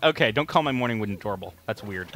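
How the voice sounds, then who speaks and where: A man's voice: lowish voice, expressive, rapid-fire, a bit bright. A young man co-hosting a gaming show with casual, goofy chat, often repeating words as he goes. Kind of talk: casual joking chat over video game play.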